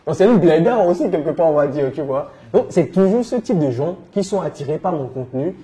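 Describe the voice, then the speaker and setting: Mellow-voiced West African man in interview. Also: on the high side, lively intonation, fairly quick. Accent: West African French. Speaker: interview guest, entrepreneur and coach. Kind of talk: conversational interview answers.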